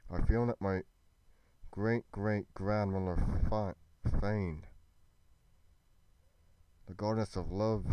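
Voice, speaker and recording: A man acts out a noble young male warrior in a fantasy roleplay. His voice is deep and smooth, and he speaks very slowly, with solemn, deliberate weight and halting pauses. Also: mellow, no room sound, rough recording. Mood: tender, worried. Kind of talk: dramatic roleplay monologue.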